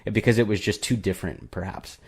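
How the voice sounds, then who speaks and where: A man's voice: on the low side, quick pace, creaky, husky. A young man co-hosting a podcast, casually retelling a horror film with lots of fillers and restarts. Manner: rambling, filler-heavy retelling. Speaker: podcast co-host. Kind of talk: casual movie review chat.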